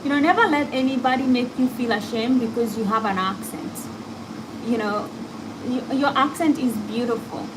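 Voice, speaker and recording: A woman's voice: a little high, moderate pace. A woman with an African accent, talking casually and reflectively as a podcast host. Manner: candid, reflective, talks to a guest and listeners. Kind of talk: casual chat. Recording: steady background noise.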